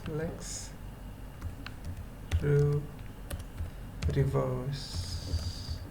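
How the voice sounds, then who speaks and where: A person thinking aloud through an unscripted coding tutorial, murmuring short halting fragments with long pauses. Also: medium pitch, little pitch movement, gravelly. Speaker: web developer giving a tutorial. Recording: noisy room with keyboard typing. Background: computer keyboard typing.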